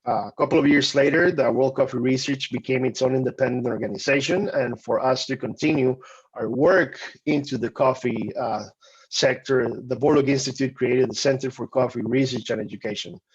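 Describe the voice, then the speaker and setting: A man from Costa Rica presenting a webinar, conversational and halting with frequent restarts. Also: mid-range voice, breathy edge, dull audio. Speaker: webinar presenter, program coordinator.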